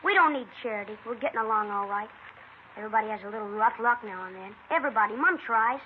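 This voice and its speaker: A quick, high-voiced young boy, played by a woman, proud and stubborn, defiant toward the police. He comes through a thin, phone-like old radio broadcast with light hiss. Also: close to an everyday voice. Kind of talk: 1950s radio acting.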